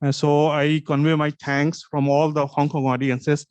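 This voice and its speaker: A man's voice: a little high, flat delivery, moderate pace, darkish tone, clean tone, dry recording. A man from Bangladesh with a Bangladeshi accent, an audience member effusively thanking the director in loose English.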